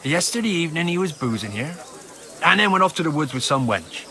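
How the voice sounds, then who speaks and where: A man's voice: a little high, big pitch swings, fairly quick, bright, forceful tone. A man playing a cagey, self-important medieval innkeeper in a video game, huffy, gossipy and obsequious to rank. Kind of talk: video game cutscene acting.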